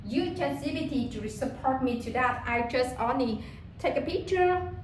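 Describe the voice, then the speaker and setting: A woman from Vietnam with a Vietnamese accent, talking casually in English about how she learns it, halting and often repeating words as she searches for them. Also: high-pitched, expressive, reverberant, slight background noise.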